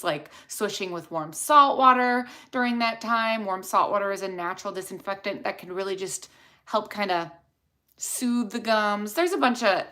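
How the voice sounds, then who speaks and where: A woman's voice: mid-range voice, bright, forceful tone. A young woman with a Chicago accent, a dental hygienist chatting through a livestream Q&A and answering viewers' questions in a rambling, casual way. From Chicago area.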